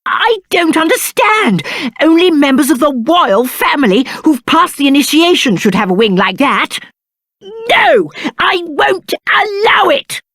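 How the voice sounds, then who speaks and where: A pampered, cowardly young prince from a video game, with a British accent. The voice sits high and leaps around theatrically, yet takes its time over each pompous phrase. It is petulant and self-important, recorded very dry and close. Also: male voice, very animated, a little slow, pushed and bright, dry recording, heavily acted. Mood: outraged. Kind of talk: video game character acting.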